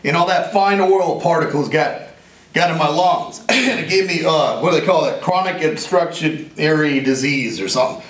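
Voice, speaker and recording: A man in his forties with a raspy voice, an inventor talking in a casual, rambling and earnest way, sometimes joking about himself. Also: a little high, normal speed. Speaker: inventor and veteran interviewee. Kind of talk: casual interview talk. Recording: roomy echo, from a distance.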